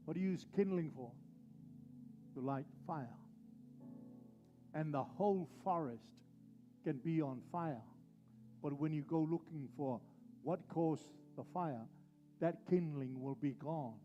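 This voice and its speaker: A male pastor preaching a sermon, driving his points home with slow, repeated phrases. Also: a little high, highs cut off, faint music behind.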